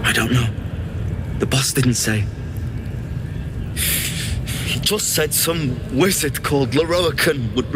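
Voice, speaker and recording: Meek terrified prisoner in a video game, slow stammery begging, music in the back. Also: male voice, fairly high pitch. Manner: stammering, halting, pleading. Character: frightened captive male adventurer, pleading and meek. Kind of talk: video game character acting. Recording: music behind the voice.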